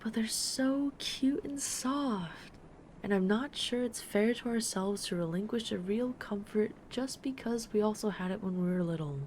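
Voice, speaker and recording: A woman playing a gentle, affectionate dragon friend in an intimate comforting audio roleplay, speaking softly and tenderly to the listener. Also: medium pitch, slight background noise, close to an everyday voice. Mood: earnest, thoughtful. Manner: soft-spoken, tender, unhurried reassurance. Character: gentle, affectionate female dragon friend who loves soft, cuddly plushies.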